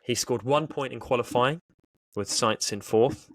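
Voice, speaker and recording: A man's voice: mid-range voice, measured pace, no echo. A man co-hosting a sports podcast in a casual, chatty style. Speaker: podcast co-host.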